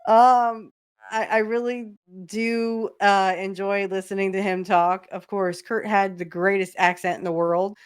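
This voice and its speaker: A woman's voice: mid-range voice, steady tone, measured pace, clear, resonant tone. A woman hosting a podcast, chatting casually along with a video in a fond, giggly way, with many filler ums. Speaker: podcast host. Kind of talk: casual watch-along commentary.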